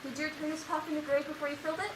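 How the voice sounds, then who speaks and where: A young woman acting a fussy, melodramatic, doting wife in stubborn denial, in a high school stage comedy on an echoey stage. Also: flat delivery, very fast, natural voice. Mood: long-suffering. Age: teen. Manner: fussy, melodramatic, mock-genteel. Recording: echoey noisy stage, distant mic.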